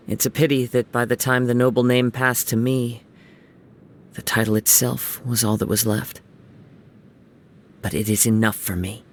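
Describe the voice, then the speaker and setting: Casting: male actor as serene young samurai wanderer for a game, gentle, poetic, mid-pitched, music underneath. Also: close to an everyday voice. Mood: calm, reflective. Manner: soft-spoken, reflective, lyrical phrasing. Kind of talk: video game character voice lines.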